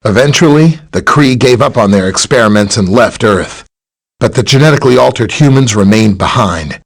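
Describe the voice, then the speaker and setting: An actor playing a helpful, earnest scientist superhero in a video game, explaining technical matters in a friendly way. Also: male voice, medium pitch, lively intonation, moderate pace. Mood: informative, calm. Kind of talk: scripted game dialogue, explaining and briefing.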